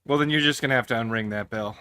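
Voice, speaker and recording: A man's voice: mid-range voice, rapid-fire, pushed and bright, smooth, no room sound, clean studio sound. A man co-hosting a casual podcast, tossing in wry comebacks. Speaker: podcast co-host.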